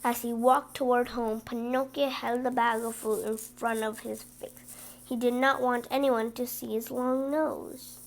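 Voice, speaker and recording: A woman reading a children's story aloud with animated, emphatic stresses and brief asides. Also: a little high, a little slow, creaky. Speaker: storybook reader.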